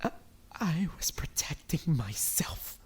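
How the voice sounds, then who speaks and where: A woman voicing a distraught, defensive teenage boy in an animated drama, stammering and emotionally raw. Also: low voice, takes it slowly, breathy edge, whispered, exaggerated voice. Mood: breaking down. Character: distraught, defensive teenage boy, guilt-ridden and foul-mouthed. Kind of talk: dramatic voice acting in a fan-made animation.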